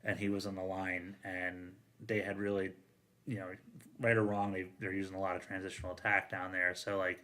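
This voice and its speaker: Man with a very bright, forceful voice. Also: deep voice, little pitch movement, fairly quick. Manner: profane, quotes dialogue in banter. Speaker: firefighter and podcast panelist. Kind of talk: casual chat, animated storytelling.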